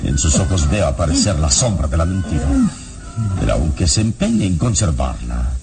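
An actor in a radio drama playing a solemn, relentless and eloquent heroic investigator who questions in formal, theatrical diction. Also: male voice, extremely low pitch, very animated, normal speed, gravelly, over loud music, heavily acted. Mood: forceful, menacing. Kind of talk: classic Latin American radio drama acting.

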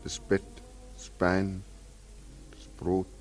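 A man's voice: deep voice, monotone, takes it slowly, a little mellow, faint music behind. An actor playing a weary, desperate man in his twenties in a 1940s radio drama. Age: 20s. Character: despairing, weary 25-year-old war returnee wanting to die. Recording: vintage 1940s radio recording.

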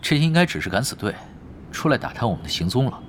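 An actor playing a cool-headed veteran gamer who leads his team, calmly explaining tactics in an audio drama. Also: mid-range voice, quick pace, slight background noise, natural voice. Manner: calm, confident, explains tactics patiently. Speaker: expert player leading a team.

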